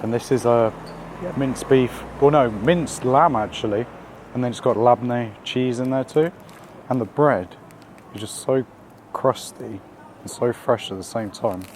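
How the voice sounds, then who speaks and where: A man hosting a casual food vlog while out in the city, his voice croaky from being ill, chatty and upbeat with lots of slang and fillers. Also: medium pitch, measured pace, a little mellow, a little hiss or hum. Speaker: food vlogger. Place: out and about in city streets and a small eatery.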